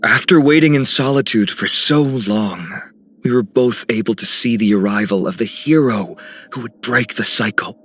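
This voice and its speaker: Young noble hero, game role: determined, earnest, poetic, medium pitch. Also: male voice, moderate pace, a bit bright, breathy edge. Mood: resolute. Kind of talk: scripted game character lines.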